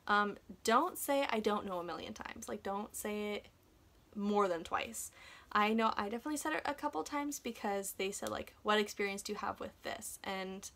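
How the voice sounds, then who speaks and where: Young woman, average pitch, chatty casual vlog advice, kinda giggly and self-deprecating. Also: moderate pace, vocal fry, fairly bright tone. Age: young adult. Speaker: vlogger sharing application advice.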